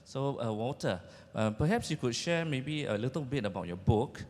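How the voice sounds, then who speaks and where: A man's voice: mid-range voice, lively intonation, normal speed, darkish tone. A man with a Singaporean accent informally moderating a panel on stage. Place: large indoor event hall with seated audience. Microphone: handheld microphone. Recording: echoey event hall.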